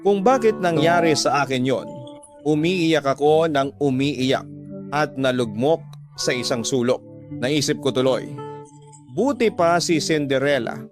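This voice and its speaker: A man's voice: medium pitch, measured pace. A man narrating a letter in the first person for a radio drama, in a dramatic scripted style. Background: background music.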